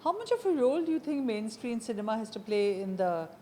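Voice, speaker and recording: A woman's voice: on the high side, sing-song, quick pace, warm tone, a little hiss or hum. A woman with an Indian accent asking questions as the host of a conversational interview.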